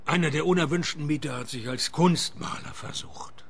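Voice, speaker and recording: An actor playing a sardonic private detective, dry and laconic, narrating his case in a gravelly voice in a radio play. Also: fairly high pitch, moderate pace, fairly bright tone.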